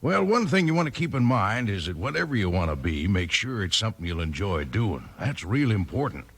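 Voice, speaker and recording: A man giving a folksy 1950s radio advertising pitch aimed at children. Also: big pitch swings, a little fast, fairly bright tone, slightly rough. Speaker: commercial pitchman. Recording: old radio recording.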